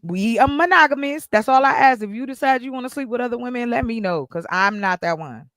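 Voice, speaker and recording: A woman's voice: on the high side, lively intonation, brisk, a bit bright, no echo, studio quality. A woman in her forties hosting a livestream group chat, blunt and playful, teasing the men. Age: 40s. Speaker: host.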